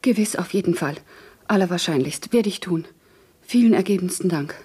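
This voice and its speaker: Nervous polite country maid, radio play, soft dark tone, slightly breathy, very dutiful. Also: female voice, fairly low pitch, a little fast. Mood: deferential. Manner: meek, dutiful, short obedient answers. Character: deferential, anxious country housemaid seeking a position. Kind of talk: German radio play acting.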